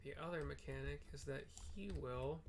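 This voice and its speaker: A man's voice: fairly high pitch, fairly even intonation, normal speed, vocal fry. A man giving casual, hesitant gameplay commentary, thinking aloud as he describes what happens on screen. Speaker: gameplay streamer. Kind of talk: casual game commentary with narrated descriptions of the action.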